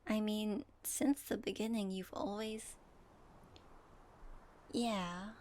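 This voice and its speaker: A woman playing a gentle, curious young female traveler in a soft ASMR audio roleplay, pausing as if for an unheard partner. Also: medium pitch, fairly even intonation, close to an everyday voice. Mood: soft, earnest.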